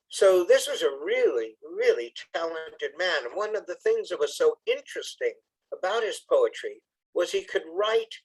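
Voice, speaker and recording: Male poetry reader and presenter, slightly high register, very unhurried delivery, measured and expressive. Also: husky, low-quality recording. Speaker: congregation member reading poetry. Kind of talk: poetry recitation and informal introduction to an audience.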